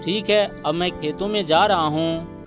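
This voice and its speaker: A man's voice: fairly high pitch, normal speed, over music, close to an everyday voice. A man playing a poor, hardworking village farmer and husband, earnest and plain-spoken, in a moral story cartoon. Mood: resigned.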